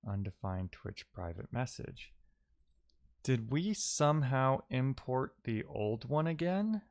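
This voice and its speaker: A man's voice: medium pitch, animated, slow pace, darkish tone. A man giving casual, think-aloud commentary as a live-coding streamer, often muttering to himself and trailing off.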